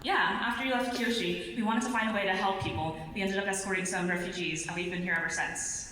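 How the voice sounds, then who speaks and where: A woman playing a sardonic but friendly young warrior woman in an animated series script read live on stage. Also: quick pace, natural voice. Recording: echoey hall, distant, faint noise, rumble.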